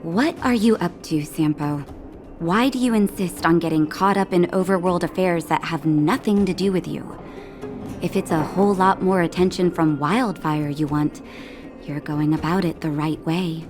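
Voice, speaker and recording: A woman playing a caring, knowing doctor in a video game, gentle but firm, with music behind. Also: lowish voice, moderate pace, lightly acted. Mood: firm, wary. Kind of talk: scripted game cutscene acting.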